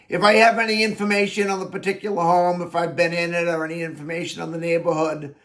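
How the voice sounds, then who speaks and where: A high-voiced man runs through the day's home listings like a real estate agent talking straight to buyers. His voice is raspy from allergies, and he explains things plainly and at length. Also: a bit bright. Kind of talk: daily listing video monologue. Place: Florida Keys.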